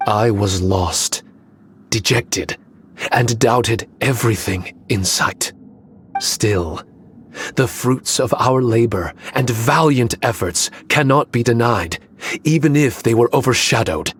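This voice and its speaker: A man's voice: medium pitch, fairly bright tone, slight background noise. A man voicing an ancient, loyal guardian spirit in a video game, speaking formally with solemn, wistful weight. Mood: sorrowful, reflective. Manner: solemn, formal, measured delivery. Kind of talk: dramatic video game dialogue.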